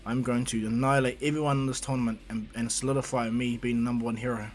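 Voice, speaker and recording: A man's voice: mid-range voice, fairly even intonation, quick pace, soft background music, natural voice. A man voicing a cocky teenage boy hero who talks in a brash, boastful way in close to his own voice.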